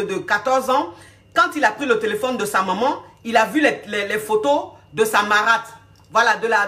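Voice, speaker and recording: A woman's voice: a little high, a bit bright. A woman with a cold telling a story to her viewers in casual, repetitive style, often asking if they follow. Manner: repetitive, emphatic, addresses viewers directly. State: has a cold. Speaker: video host telling a story.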